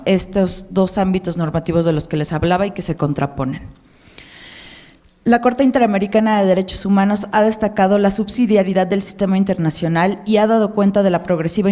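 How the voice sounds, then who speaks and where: A woman presenting a read academic paper at a conference, steady and formal. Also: fairly low pitch. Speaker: conference speaker.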